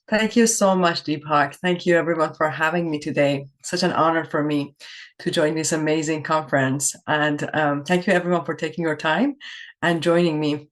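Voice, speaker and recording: A woman's voice: deep voice, fairly even intonation. Earnest woman from Iran, storytelling keynote. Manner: earnest, reflective, with pauses and self-corrections. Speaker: keynote speaker.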